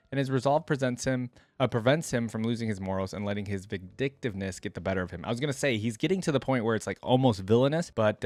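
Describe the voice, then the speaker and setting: Guy doing a reaction vid, reads stuff out real quick n comments casually, dry sound no echo. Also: medium pitch. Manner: informal, thinking aloud between read passages. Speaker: reaction video host. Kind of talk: reading lore aloud with casual commentary.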